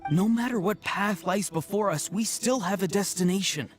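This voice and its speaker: A man's voice: high voice, moderate pace, faint music behind, natural voice. A man voicing an earnest, determined young hero in a video game, with heartfelt delivery.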